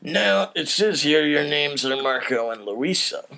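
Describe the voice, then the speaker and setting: A man's voice: a little high, animated, a little slow, creaky, sharp tone, voice pushed up, full character voice. A man voicing a bigoted, falsely cheerful banker in a comedy script, with a theatrical salesman's delivery.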